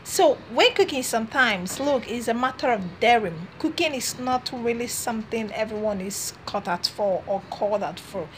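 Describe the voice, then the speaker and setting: Young woman, selfie-phone vlog, casual chatty talk, higher voice, faint room hiss. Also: lively intonation, moderate pace, hardly any pauses. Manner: chatty, encouraging, restarts phrases. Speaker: vlogger. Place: small room indoors, seated on a red couch. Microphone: phone camera at arm's length.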